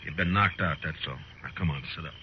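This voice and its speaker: An actor playing a stern, world-weary US Marshal in a 1950s radio western, terse and deliberate. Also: male voice, background noise. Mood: firm, stern. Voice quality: deep. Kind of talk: 1950s radio drama, first-person narration and dialogue. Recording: muffled 1950s radio recording with music.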